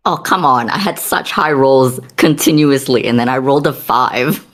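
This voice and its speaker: A man's voice: medium pitch, moderate pace, a bit bright. A man playing a tabletop game, trading casual banter with the group.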